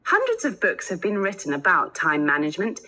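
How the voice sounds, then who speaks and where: A woman's voice: on the low side, wildly swooping pitch, fairly quick, bright, forceful tone, exaggerated voice. A woman playing an organised college lecturer welcoming students in a scripted, instructive talk. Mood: businesslike, welcoming.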